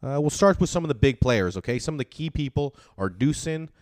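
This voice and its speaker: A man's voice: mid-range voice, animated, a little fast, a little mellow, no echo. A man hosting a podcast, talking in a loose, rambling way with lots of fillers and casual swearing. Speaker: podcast host.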